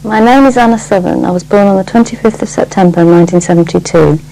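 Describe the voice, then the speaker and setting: A middle-aged Englishwoman with a gravelly creak and a soft, dark tone. She introduces herself simply, with slight hum in the background. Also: mid-range voice, fast, poor audio. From Lancashire, England. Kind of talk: self-introduction. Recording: faint background noise and hum.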